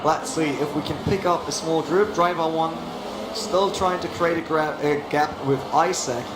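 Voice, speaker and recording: A man giving live race commentary with playful banter, his voice going hoarse. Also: on the high side, steady tone, normal speed. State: losing his voice. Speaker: esports commentator. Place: live event arena. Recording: echoey arena with loud noise.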